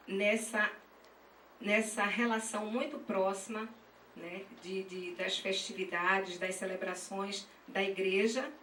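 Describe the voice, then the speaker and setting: A woman with a northeastern Brazilian accent giving an academic conference presentation, halting and often checking in with tag questions. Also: mid-range voice, a bit bright. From Pernambuco, Brazil. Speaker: graduate dance student and teacher presenting research. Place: echoey session room at a university dance school. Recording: roomy, distant mic, faint noise.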